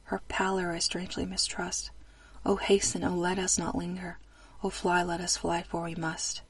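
A woman's voice: fairly low pitch, completely flat delivery, moderate pace, breathy edge. A woman voicing Psyche, a fearful winged female soul, with urgent pleading.

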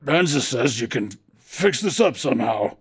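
A man's voice: big pitch swings, normal speed, husky. A man voicing a sick, irritable man in constant pain who wails and groans. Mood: grumpy. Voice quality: wailing, groaning, shrill. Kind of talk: audiobook character voice.

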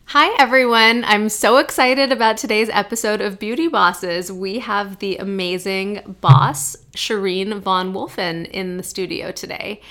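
A woman's voice: mid-range voice, fairly even intonation, unhurried, few pauses, fairly bright tone. A woman hosting a podcast, upbeat and enthusiastic as she introduces and interviews a guest. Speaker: podcast host, plastic surgeon.

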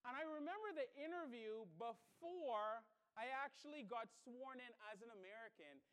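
A man's voice: extremely high pitch, expressive, normal speed, fairly bright tone. A man from the Bahamas, middle-aged, preaching as a pastor with personal storytelling and an emphatic, repetitive, questioning delivery. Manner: emphatic, with repeated phrases and rhetorical questions.

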